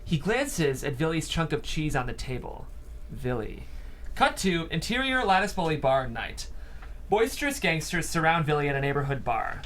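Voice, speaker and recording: Higher-pitched man narrating a script. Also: very animated, normal speed, fairly bright tone, a little hiss or hum. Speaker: narrator reading stage directions. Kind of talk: scripted narration at a screenplay table read.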